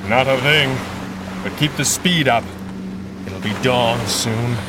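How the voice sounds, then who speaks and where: A man's voice: mid-range voice, takes it slowly, close to an everyday voice. Man playing a cool-headed masked hero, noisy background. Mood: pensive, grave. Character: Red Panda, cool-headed masked 1930s male superhero. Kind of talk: 1930s radio serial style acting. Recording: clearly noisy background ambience.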